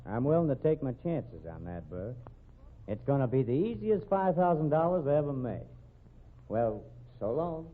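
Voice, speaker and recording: A man's voice: medium pitch, sing-song, moderate pace. An actor playing a cocky young male rodeo cowboy in a 1940s radio drama, with an easy, confident drawl. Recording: tinny old radio broadcast.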